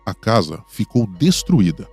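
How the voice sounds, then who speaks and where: A man's voice: fairly low pitch, big pitch swings, unhurried, a little mellow, breathy edge, faint music behind. A man with a Brazilian accent reading scripted dramatic narration for a radio documentary.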